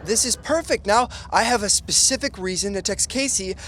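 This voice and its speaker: A man's voice: high voice, normal speed, background noise, natural voice. An actor playing an earnest, lovestruck, emotional young man in a podcast sitcom, with dramatic delivery. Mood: hopeful.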